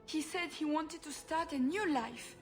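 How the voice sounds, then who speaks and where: A woman's voice: sits high, a little slow, soft background music. A woman playing a fussy, gushing noblewoman and doting mother with a French accent in a video game. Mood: defensive. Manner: gushing, fluttery. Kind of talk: video game cutscene acting.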